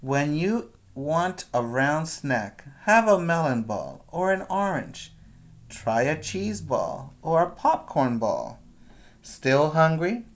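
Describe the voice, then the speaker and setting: Very slow-paced male preschool teacher reading aloud. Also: on the high side, sing-song. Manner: deliberate, instructional phrasing with repeated questions. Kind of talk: read-aloud for young children with explanatory asides.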